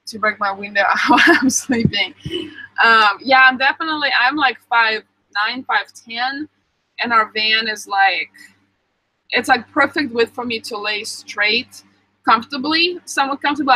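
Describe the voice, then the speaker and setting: Young woman, bright tone, slightly high voice, dry close sound, chatty live stream host. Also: no echo, poor audio. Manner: chatty, answers viewer comments with many ums. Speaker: vlogger reading live chat. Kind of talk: casual live stream chat.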